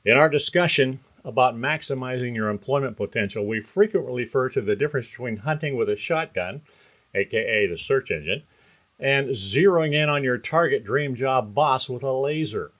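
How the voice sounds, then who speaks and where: An older man hosts his career podcast with a folksy, reassuring coaching manner. His voice sits at a middle pitch for a man. The recording sounds a little dull and lo-fi. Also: normal speed. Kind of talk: scripted podcast monologue.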